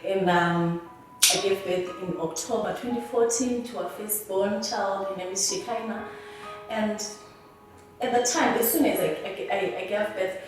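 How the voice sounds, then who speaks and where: Zimbabwean woman, halting, very echoey. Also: medium pitch, over music. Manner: halting and earnest, often restarting words. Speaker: interviewee. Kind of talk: personal testimony. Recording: very echoey room, voice from a distance.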